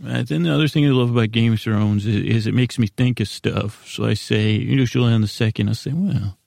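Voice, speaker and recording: Creaky-voiced man rambling gently on a podcast. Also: mid-range voice, steady tone, no room sound, studio quality. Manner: meandering, halting, mumbling, self-deprecating. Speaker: podcast host. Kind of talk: rambling casual monologue for a sleep podcast.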